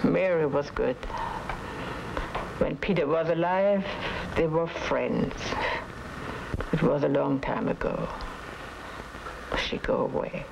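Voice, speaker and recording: A weary immigrant mother, guarded and slow, with a creaky voice. Her comes in short, broken phrases, in the style of 1950s TV drama, on a noisy old soundtrack. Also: sits low, heavily acted. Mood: wistful. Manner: halting broken English, plain short phrases. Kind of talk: 1950s TV crime drama acting.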